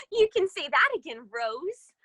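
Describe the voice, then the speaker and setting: Woman acting a bright, high, catty violet flower. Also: very animated, a little slow, full character voice. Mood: mocking. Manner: prim, mocking. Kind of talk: children's readers theater.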